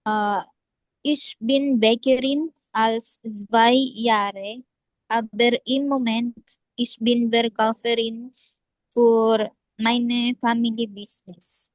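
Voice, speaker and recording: Slow, careful Filipino woman in her twenties. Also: fairly high pitch, fairly even intonation, dry recording, low-quality recording. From Philippines. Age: 20s. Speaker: student.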